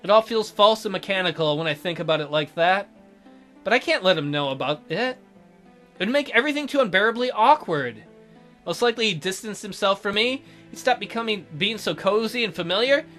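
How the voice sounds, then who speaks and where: Bright, expressive man reading a visual novel. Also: high voice, brisk. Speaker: let's play host. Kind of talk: reading visual novel narration aloud with casual asides. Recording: soft music behind the voice.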